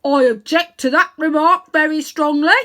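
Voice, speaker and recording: A woman voicing a proud, stubborn male bulldog from a storybook, gruff and insistent. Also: high-pitched, big pitch swings, moderate pace, bright, forceful tone, voice pushed up, full character voice. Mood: indignant.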